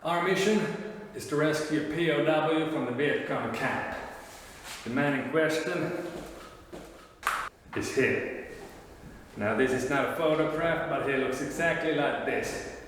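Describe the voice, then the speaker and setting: A man's voice: on the high side, measured pace, long pauses, a bit bright, slight background noise. A man playing a bullying, foul-mouthed American army sergeant who barks profane orders. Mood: gruff. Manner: barking, profane, contemptuous. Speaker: drill sergeant. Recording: echoey room, long reverb.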